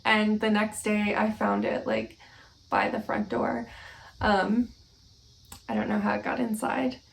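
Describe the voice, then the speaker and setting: A young woman from Oklahoma hosting a casual knitting vlog at home, relaxed and slightly scratchy from allergies, with lots of ums and soft laughs. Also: mid-range voice, a little slow, gravelly. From Oklahoma, United States. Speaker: knitting podcast host. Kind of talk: casual chat to camera. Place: at home, indoors.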